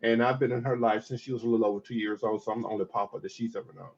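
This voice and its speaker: A husky man in his fifties talks things through on a casual podcast. He rambles and restarts his sentences, leaning on "I mean" as he goes. The audio sounds dull and lo-fi. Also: on the low side, fairly even intonation, rapid-fire. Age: 50s.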